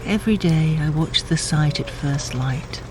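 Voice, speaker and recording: An actor in a sci-fi audio drama playing an ailing, reverent leader who speaks slowly and grandly. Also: mid-range voice, noisy background, natural voice. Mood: reverent pride.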